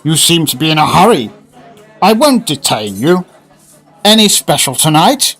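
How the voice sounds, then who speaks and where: Man acting excitable British businessman, very lively intonation, raised pitch, colloquial, blustering, radio play. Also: normal speed, exaggerated voice. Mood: eager. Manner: animated, colloquial, grumbling. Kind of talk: fan-made radio drama acting.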